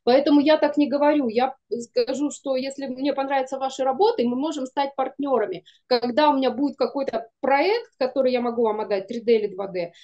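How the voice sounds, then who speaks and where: A woman's voice: high voice, brisk, dark tone, dull audio. A middle-aged woman chatting emphatically as an interview guest on a video call, often acting out bits of conversation. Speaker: guest, animation studio producer. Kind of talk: casual interview talk. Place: small room indoors, on a video call.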